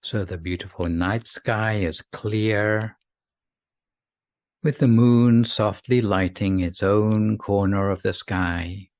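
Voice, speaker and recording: A man with a fairly low voice guides a sleep meditation. He speaks very slowly and gently, leaving long pauses between soothing phrases. The sound is dry and close, with very little room. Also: fairly even intonation, no echo.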